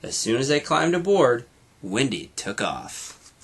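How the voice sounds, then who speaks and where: A man reading a children's storybook aloud in an animated way, like a teacher to young students. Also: mid-range voice, a little slow, background noise.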